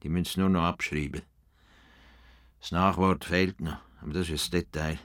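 An actor with a Swiss German accent playing an earnest, stubborn farmer in a radio play, dogged and grumbling. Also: male voice, deep voice, steady tone, normal speed, heavy background noise, natural voice. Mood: indignant muttering.

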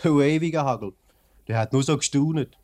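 An actor playing a loyal, emphatic factory worker in a 1950s Swiss German dialect radio play. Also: male voice, fairly high pitch, a little fast, darkish tone, lightly acted. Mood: gleeful. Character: loyal, enthusiastic factory worker praising his comrade.

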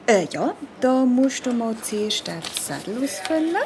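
An unhurried woman receptionist gives instructions in a children's radio play. Her fairly high voice is very animated, amused yet matter-of-fact. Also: background noise. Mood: instructing.

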